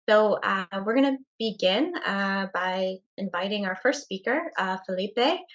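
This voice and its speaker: A woman moderating a panel, reading introductions in a measured way with frequent "uh" fillers. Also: mid-range voice, dull audio.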